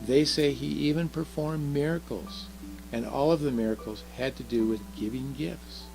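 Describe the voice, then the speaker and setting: A man voicing a curious, earnest little girl in a children's storybook, soft and chatty, asking and explaining eagerly. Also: mid-range voice, normal speed, gravelly, over music, pitched above the performer's own voice, lightly acted, low-quality recording. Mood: proud, chatty. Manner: eager questioning, explaining carefully. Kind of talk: children's storybook read aloud.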